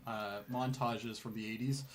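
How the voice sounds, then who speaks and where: A man's voice: mid-range voice, fairly even intonation, normal speed. A man bantering casually as a participant in a group podcast. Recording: crowded room with group chatter.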